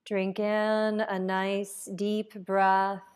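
A woman leading a guided meditation as a yoga nidra teacher, calm and unhurried, leaving long pauses between phrases. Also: mid-range voice, clean tone.

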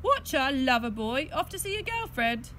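Woman acting big schoolboy bully, cocky, sneering, children's storybook, high voice, faint outdoor noise. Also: big pitch swings, moderate pace, sharp tone, exaggerated voice. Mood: cocky, taunting.

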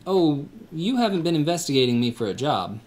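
A man acting in a church radio play, calm and gently teasing. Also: fairly high pitch, a little fast.

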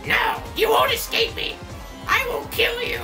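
A man's voice: extremely high pitch, a little slow, reverberant, music in the background. A man voicing a boastful, murderous cartoon bird racer, making grandiose and menacing declarations in a comedic fandub.

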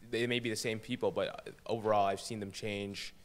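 A young man, a high school senior guesting on a studio podcast, answering questions hesitantly with fillers and restarts. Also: flat delivery, moderate pace. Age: young adult. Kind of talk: interview answers. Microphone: boom-arm studio mic.

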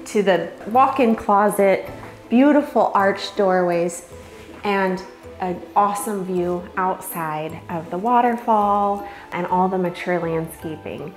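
A woman in her forties giving an enthusiastic, promotional home tour to camera as a real estate agent. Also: medium pitch. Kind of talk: upbeat promotional presentation to camera. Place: house porch and empty wood-panelled rooms. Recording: roomy echo, music underneath. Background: background music.

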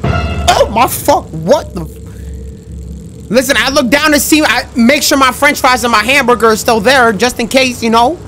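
A young man commentating a horror game in a loud, excitable, trash-talking way, his voice going hoarse, recorded on a headset mic at a home setup. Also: extremely high pitch, measured pace, soft background music. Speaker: gaming streamer.

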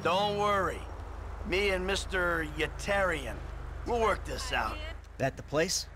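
A man's voice: high voice, takes it slowly. Menacing, jaded middle-aged American man, street noise. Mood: cold menace. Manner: sardonic, threatening, profane. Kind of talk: video game voice acting. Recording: game audio over car and street noise.

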